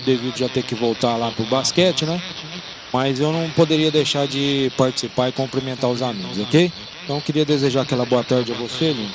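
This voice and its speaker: Brazilian man checking in on noisy ham radio. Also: medium pitch. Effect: radio transmission. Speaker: amateur radio operator, newcomer.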